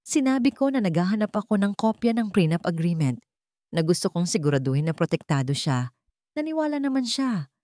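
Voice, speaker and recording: A woman's voice: medium pitch, very animated, a little fast, warm tone, no echo, natural voice. Woman playing devoted young man, earnest, frightened, intense romantic drama, dry close sound. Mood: shaken, relieved.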